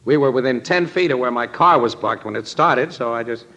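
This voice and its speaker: A man's voice: mid-range voice, brisk, sharp tone, dull audio. An actor playing a wry, put-upon newspaper photographer with slangy tough-guy talk in a vintage radio drama. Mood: wry, rueful. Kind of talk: old-time radio drama acting.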